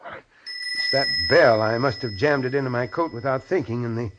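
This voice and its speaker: A man's voice: mid-range voice, normal speed, lightly acted. An actor playing a driven, earnest male surgeon in 1940s radio drama, with a commanding manner. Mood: weary, reflective. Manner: urgent, commanding. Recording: muffled, noisy vintage radio recording.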